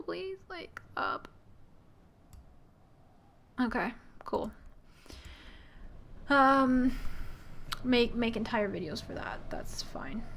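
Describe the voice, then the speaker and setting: A woman streaming a game, talking casually and giggling as she goes. Her voice sits a little high. She reads the game's text aloud and breaks off into chatty asides. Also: bright, forceful tone, a little hiss or hum. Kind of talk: casual live-stream chat while playing a game.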